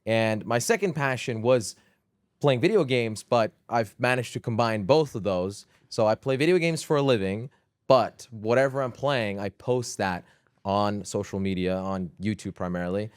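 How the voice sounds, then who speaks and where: A man's voice: fairly low pitch, normal speed, smooth, no room sound. Dutch man chatting in a dry room. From southern Netherlands. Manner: relaxed, chatty, frequent fillers. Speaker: guest, gaming creator. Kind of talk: casual podcast interview.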